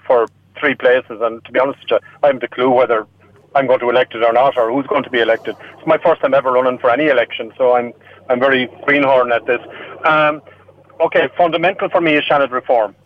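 A man from an Irish-speaking region, a native Irish speaker with an Irish accent, speaking as an election candidate on a radio panel with frequent restarts. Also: medium pitch. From Irish-speaking region of Ireland. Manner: halting, many restarts. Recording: tinny phone line.

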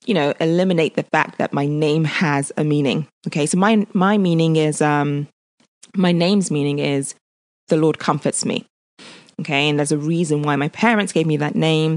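A woman with a low voice hosts a relaxed panel talk, upbeat and chatty. The sound is dry and close, with little room to it. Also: dry recording. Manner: upbeat, chatty, says okay often. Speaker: host. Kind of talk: casual panel conversation.

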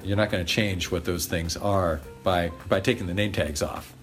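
A man's voice: sits low, steady tone, moderate pace, soft background music. A man answering interview questions with emphatic, rhetorical explanations. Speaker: flag expert guest. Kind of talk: lively explanatory interview talk.

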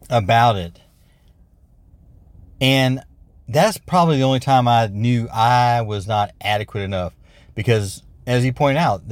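A man with an American accent leaving a casual voice message for a podcast, speaking in a halting, reflective way. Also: medium pitch, dry recording. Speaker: podcast call-in listener.

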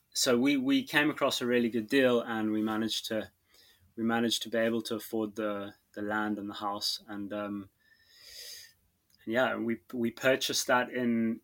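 A man in his thirties with a South African accent, talking about his farm as a podcast guest, slow and halting with many fillers and restarts. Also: medium pitch, a bit bright. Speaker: guest farmer. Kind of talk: podcast interview.

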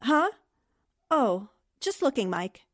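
A woman playing a confident, sharp-witted newspaperwoman sleuth in 1940s radio drama style, brisk and knowing. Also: on the high side, big pitch swings, exaggerated voice. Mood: composed.

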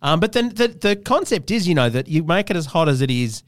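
A man's voice: fairly high pitch, very animated, a little fast, dry recording, studio quality. A man hosting a comedy podcast, chatting casually and asking questions in a wry, teasing way. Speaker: podcast host. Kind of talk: casual comic interview.

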